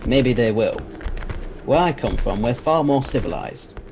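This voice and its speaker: A man playing a self-assured, principled time-travelling scientist in an audio drama, speaking formally and with measured authority. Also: medium pitch, lively intonation, a little mellow, a little hiss or hum. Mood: firm.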